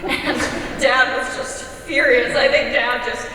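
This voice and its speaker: Very echoey, high-voiced woman telling stories. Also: fairly even intonation, takes it slowly, pushed and bright. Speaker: readers theater performer. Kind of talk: conversational stage storytelling. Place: large hall, live stage reading. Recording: echoey hall from far off, faint noise.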